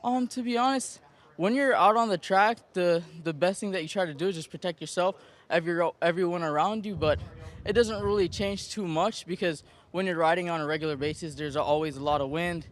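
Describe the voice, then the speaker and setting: A man with a Jamaican accent, a jockey giving a relaxed, joking post-race interview in a soft voice. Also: sits high, brisk. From Jamaica and Florida.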